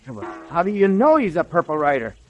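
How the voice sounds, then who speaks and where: A man's voice: a little high, wildly swooping pitch, quick pace, dull audio, faint music behind. An actor playing a nervous, slangy gangster henchman in 1930s radio drama style. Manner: slangy, anxious. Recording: vintage 1930s radio recording.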